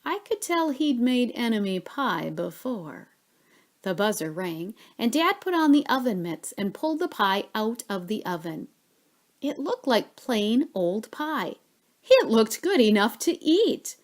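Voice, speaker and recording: Woman, bedtime story reader, animated pitch, dramatic pauses, stretched key words. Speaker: storyteller reading a children's book aloud. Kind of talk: expressive bedtime story reading.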